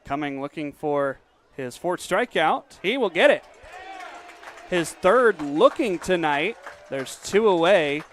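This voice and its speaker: A young adult man in a stadium broadcast booth, calling baseball play-by-play on radio and asking interview questions between pitches. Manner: brisk game calling between relaxed questions.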